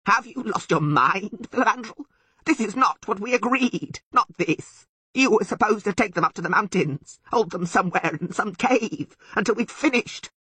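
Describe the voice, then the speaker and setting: A man voicing an indignant, abusive goat-like sylvan devil in an audiobook, with a raspy bleat and emphatic, scolding delivery. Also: high voice, expressive, moderate pace, sharp tone, dry recording, voice pushed up, full character voice. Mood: outraged. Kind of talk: audiobook character voice.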